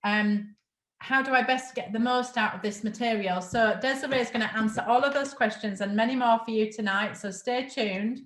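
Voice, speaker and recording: A woman hosting a live online show, gushing warmly about a product and introducing her guest. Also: on the high side, normal speed, reverberant, low-quality recording. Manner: gushing, promotional. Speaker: host.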